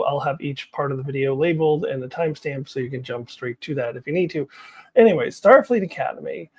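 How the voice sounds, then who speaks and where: A woman's voice: sits low, warm tone. A woman vlogger chatting casually to viewers about her opinions, candid and self-deprecating, often laughing as she talks. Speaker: vlogger giving opinions on TV news.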